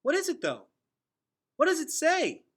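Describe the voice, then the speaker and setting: A man's voice: very high voice, wildly swooping pitch, unhurried, fairly bright tone, voice pushed up, full character voice. Man voicing an anxious, blurting young boy hero. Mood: panicked. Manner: casual, blurting questions.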